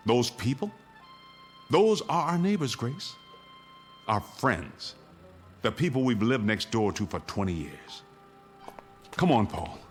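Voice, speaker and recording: A man's voice: mid-range voice, sing-song, slow, pauses often, soft background music. An actor playing an embittered, commanding father defending his family's shelter in a radio drama. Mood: bitter.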